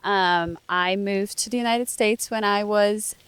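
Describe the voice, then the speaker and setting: A woman in her twenties from Brazil, speaking English as a second language, telling her story with excitement and plenty of ums. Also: mid-range voice, a little slow, clear, resonant tone, no room sound. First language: Portuguese. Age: 20s. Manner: eager, upbeat, storytelling with frequent ums. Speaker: student podcast host. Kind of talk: casual interview chat.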